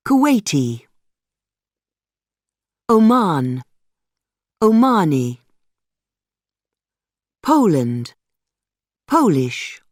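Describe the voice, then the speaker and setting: A woman reading a vocabulary list for a language course, deliberate and clearly articulated. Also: fairly low pitch, darkish tone, dry recording, clean studio sound. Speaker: course audio reader.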